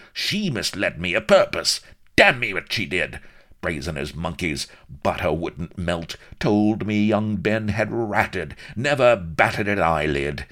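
Storybook admiral: old, massive, rumbling, hot-tempered, leaping from growl to bellow in wild swoops. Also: male voice, sharp tone, heavily acted. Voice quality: rumbling, roaring. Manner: archaic salty oaths, pompous bluster.

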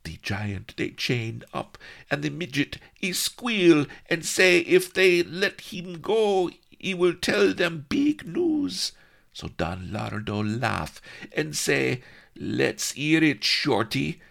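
A man's voice: on the high side, lively intonation, slow, creaky, a bit bright, pitched above the performer's own voice, exaggerated voice. A man voicing a panicky young noblewoman in broken English with a put-on Spanish-flavoured accent, breathless and melodramatic.

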